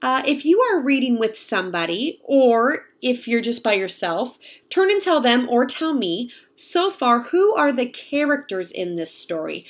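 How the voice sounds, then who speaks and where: A young woman reading a picture book aloud to children in an expressive, explanatory way. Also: fairly high pitch, a little slow. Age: young adult. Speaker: read-aloud storyteller, teacher. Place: home kitchen, indoors.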